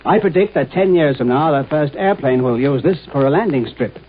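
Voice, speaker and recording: Earnest higher-voiced professor played by a man, tinny radio. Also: lively intonation, a little fast, lightly acted. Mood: explanatory. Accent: American. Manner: hesitant, pleading, earnest. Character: earnest, idealistic, dreamy male scientist professor. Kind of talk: 1950s American radio drama acting. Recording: tinny 1950s radio, faint noise.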